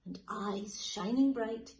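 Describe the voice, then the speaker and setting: A woman teacher reading a children's picture book aloud in a slow, lilting, expressive way. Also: medium pitch, slight background noise. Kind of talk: storybook read-aloud for children.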